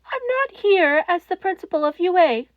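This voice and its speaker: A woman voicing a small, stern old mouse principal in a fan-fiction reading, cold, polite and cutting as he makes deliberate accusations. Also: very high voice, animated, no room sound, phone-quality sound, voice pushed up. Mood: cold, menacing. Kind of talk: dramatic audiobook-style fan fiction character reading.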